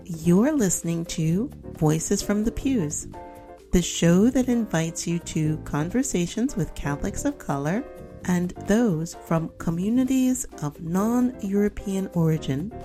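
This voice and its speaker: A woman hosting a podcast, reading a scripted introduction and asking interview questions in a clear, deliberate way. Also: fairly low pitch, mellow. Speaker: podcast host.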